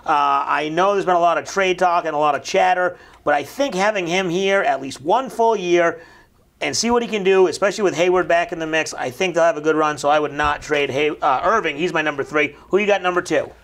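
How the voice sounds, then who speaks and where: A man in his thirties hosting a casual local sports talk show in a small studio, upbeat and quick with questions, on a lapel mic. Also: fairly high pitch, fairly bright tone. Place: small brick-walled TV studio set.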